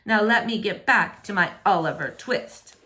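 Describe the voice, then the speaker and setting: Woman as an unimpressed, bright-voiced young storybook princess. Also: mid-range voice, moderate pace, close to an everyday voice. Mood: aloof. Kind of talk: storybook read-aloud in rhyming verse.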